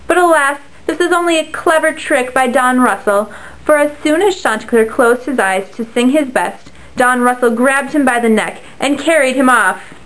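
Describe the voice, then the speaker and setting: A young woman narrating a fable with a steady, clear reading for a class puppet show. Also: high-pitched, a little slow, low-quality recording. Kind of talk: read-aloud storybook narration for a class project.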